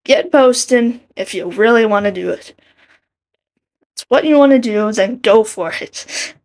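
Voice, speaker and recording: A soft-spoken young woman with a quiet voice, giving earnest casual commentary and laughing between thoughts. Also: on the high side, unhurried. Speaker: art commentary video maker. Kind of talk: casual commentary over a speedpaint.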